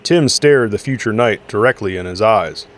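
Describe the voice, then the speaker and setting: A man's voice: on the low side, animated, moderate pace. A man reading scripted dramatic story narration as the narrator of an audio drama.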